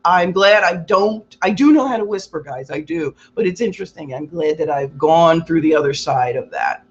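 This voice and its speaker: A woman with a loud, carrying voice giving a rambling, casual self-help talk as a Reiki practitioner, acting out quotes with big exaggerated jumps in pitch. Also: animated, moderate pace, muffled recording. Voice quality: loud voice that carries. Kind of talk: casual motivational talk to viewers.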